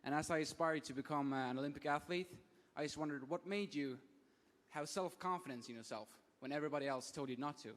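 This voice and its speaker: A man's voice: a little high, fast talker. A young man who is a gymnast, asking an admiring question at a public event. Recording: reverberant hall from a distance.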